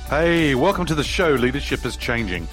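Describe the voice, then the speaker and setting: A man's voice: mid-range voice, big pitch swings, moderate pace, a bit bright, soft background music. A man hosting a leadership podcast, reading his opening and then interviewing a guest in an upbeat, emphatic way. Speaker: podcast host. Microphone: video call.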